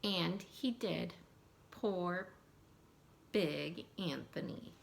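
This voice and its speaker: A woman's voice: on the low side, extremely slow, creaky, a little hiss or hum. Woman reading a storybook aloud, expressively. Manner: expressive, asks questions and gasps in mock surprise. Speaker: teacher reading a picture book aloud to children. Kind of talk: animated read-aloud storytelling with asides to young listeners.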